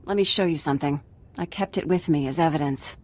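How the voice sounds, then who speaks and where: A woman's voice: deep voice, brisk. A woman playing a frazzled, wry scientist in a video game, with a jittery edge. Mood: relieved, wry.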